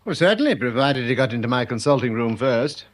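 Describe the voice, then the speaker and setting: An actor playing a dry, educated English optician with a precise, mildly sardonic manner, in a British radio drama. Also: male voice, medium pitch, animated, fairly quick, lightly acted. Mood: mildly puzzled. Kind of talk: British radio comedy-mystery drama acting.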